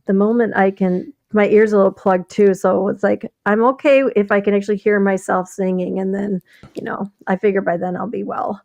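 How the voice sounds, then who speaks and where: A woman co-hosting a casual show, raspy and hoarse after illness, rambling and breaking off mid-thought. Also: mid-range voice, fairly even intonation, fairly quick, a little mellow, no echo, dull audio. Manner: rambling, self-interrupting. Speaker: co-host. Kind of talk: casual chat.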